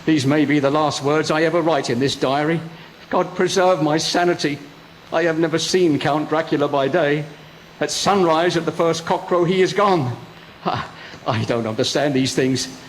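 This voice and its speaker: A man's voice: a little high, normal speed, slight background noise, lightly acted, low-quality recording. An actor playing a frightened, desperate young male prisoner in a melodramatic, theatrical radio drama. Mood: haunted dread. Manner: anguished, theatrical.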